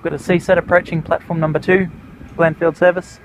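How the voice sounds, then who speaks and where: A man's voice: fairly high pitch, level tone, a little fast, low-quality recording. A man with an Australian accent, giving casual vlog commentary on a station platform and naming the trains in a matter-of-fact way. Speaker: train vlogger. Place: outdoor railway station platform. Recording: outdoor camera audio with train noise. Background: passing trains and station noise.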